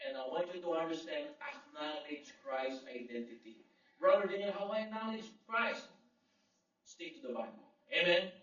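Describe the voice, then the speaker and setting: A man's voice: on the high side, animated, normal speed, a bit bright. A male pastor from the Philippines, a native Filipino speaker, preaching a sermon with call-and-response amens and emphatic Bible quotes. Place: large echoey church hall. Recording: echoey, distant, dull lo-fi sound.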